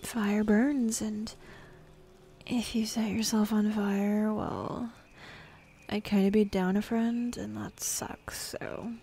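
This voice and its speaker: A woman's voice: mid-range voice, little pitch movement, slow, mellow. A woman voicing a bossy, teasing demon in an intimate ASMR roleplay, sardonic and drawling, easily bored, slipping into whispers. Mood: instructive, teasing. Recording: faint ambient forest background noise.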